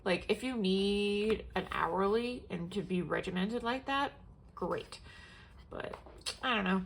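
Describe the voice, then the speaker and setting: Young woman vlogging at home, stuffy nose, a bit of vocal fry, rambly, faint hiss behind. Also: medium pitch, slow, rough recording. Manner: rambling, many fillers and restarts. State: congested, sniffling. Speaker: planner vlogger. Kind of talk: casual show-and-tell chat.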